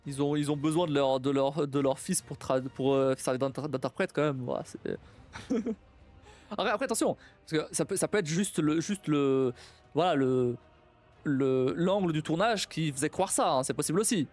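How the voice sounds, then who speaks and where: A man's voice: on the high side, a little mellow, faint music behind. A young man chatting casually on a live stream, stumbling and repeating himself as he thinks aloud. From Portuguese family. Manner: halting, many repeats and restarts, laughs often.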